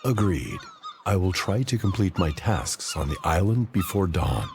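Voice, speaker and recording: An actor playing a grave, courteous male traveler and healer in a video game, speaking in formal, measured phrases. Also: sits low, lively intonation, noisy background. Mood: calm, courteous. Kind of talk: video game cutscene acting.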